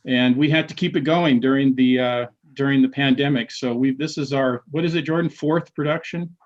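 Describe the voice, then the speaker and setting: Halting middle-aged man on a call. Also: mid-range voice, steady tone. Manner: halting, many restarts and fillers. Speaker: history professor and playwright. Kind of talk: casual video call chat. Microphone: video call. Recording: dull lo-fi call audio.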